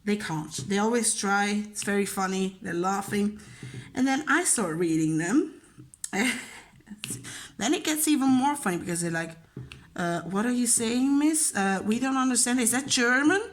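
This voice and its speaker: A woman's voice: medium pitch, animated, normal speed. A young woman from Belgium with a Flemish accent, Dutch her first language, chatting casually and rambling with fillers and laughs as she answers questions about herself. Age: young adult. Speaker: vlogger and teacher.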